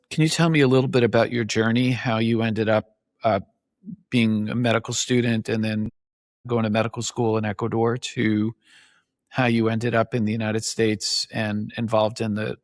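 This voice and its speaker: Low-voiced man, dry close sound. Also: dry recording. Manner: thoughtful, reflective questioning. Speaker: host, emergency physician. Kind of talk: interview conversation.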